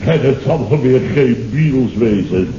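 An actor playing an indignant, self-important middle-aged boss in a radio comedy, exasperated, sarcastic and stammering over repeated words. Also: male voice, medium pitch, lively intonation, normal speed, dark tone, lightly acted. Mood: blustering. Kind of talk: 1970s Dutch radio comedy acting. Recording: muffled, noisy, echoey old radio recording.

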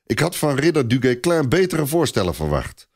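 A man's voice: medium pitch, very animated, normal speed, darkish tone, voice pushed up. A man voicing a proud, anxious young count in a story, impatient and easily flustered.

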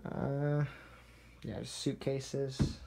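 A man's voice: medium pitch, level tone, drawn-out words, gravelly, dark tone, low-quality recording. A young man giving a casual, understated home tour as a gaming vlogger, recorded indoors on a handheld camera. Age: young adult. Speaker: gaming YouTuber. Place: small furnished basement rooms indoors. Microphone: handheld camera's built-in mic.

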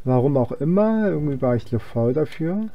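A man's voice: fairly high pitch, lively intonation, moderate pace, highs cut off. A young man giving casual gameplay commentary, rambling and thinking aloud as he plays. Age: young adult. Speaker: let's player.

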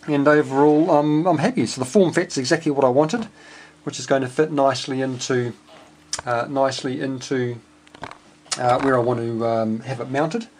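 A man's voice: mid-range voice, steady tone, moderate pace, a little mellow. A man with a New Zealand accent giving a casual, unscripted technical walkthrough as a radio hobbyist, hesitant and speaking in short phrases. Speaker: amateur radio hobbyist presenting his homebuilt receiver. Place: indoors at a home workbench. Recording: clearly noisy home video with clicks.

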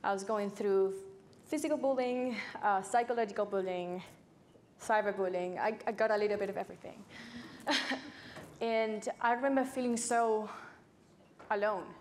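A woman's voice: medium pitch, darkish tone, a little hiss or hum. A nineteen-year-old woman from Chile with a Chilean accent, a native Spanish speaker, talking conversationally as an activist on a panel. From Santiago, Chile. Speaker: youth climate activist panelist. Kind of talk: personal story in a panel talk. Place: stage at an indoor panel event. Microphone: lapel mic.

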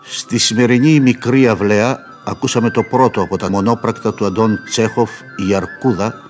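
Guy doing formal radio announcements, lowish voice, music playing behind him. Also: steady tone. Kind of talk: formal scripted credits announcement.

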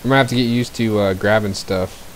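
A low-pitched man chatting through a video game stream from his home setup. He sounds a little scratchy as he gets over a cold, rambling easily from item to item with fillers and the occasional throat clear. A cheap desk mic carries his voice, with a faint hiss behind it. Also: steady tone, quick pace. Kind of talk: casual gameplay commentary.

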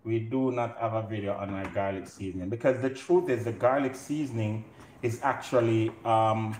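A man's voice: fairly low pitch, steady tone, normal speed, a little mellow. Jamaican man cooking in a roomy kitchen. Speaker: home cook and show host. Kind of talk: casual live cooking demonstration. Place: indoor home kitchen. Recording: roomy kitchen, clear noise, pot clatter.

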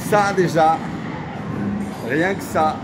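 A man's voice: fairly high pitch, slow, very noisy. A man vlogging casually and enthusiastically, using informal slang. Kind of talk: casual chat to camera. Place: large indoor arcade. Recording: loud arcade music and machine din behind.

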